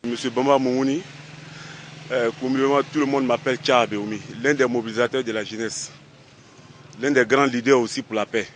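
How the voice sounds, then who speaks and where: A man's voice: medium pitch, fairly even intonation, a little fast, slight background noise. A man with an Ivorian accent speaking as a youth leader, making an appeal to a news camera outdoors.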